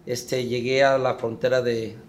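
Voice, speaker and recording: A middle-aged man from Mexico, recounting his story as an interview guest in a reflective, halting way. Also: medium pitch, flat delivery. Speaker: guest, father.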